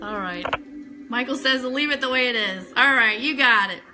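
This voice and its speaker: A woman's voice: fairly high pitch, normal speed, over music. A woman hosting a live online radio show, chatting casually with viewers as she shares news items. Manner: chatty, addressing viewers and reading their comments.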